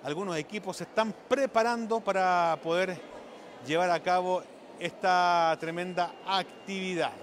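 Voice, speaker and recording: A Chilean man with a high voice works as a live event reporter. He improvises his commentary, halting and repeating himself. Clear urban noise runs behind him. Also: steady tone. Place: live at a sports venue.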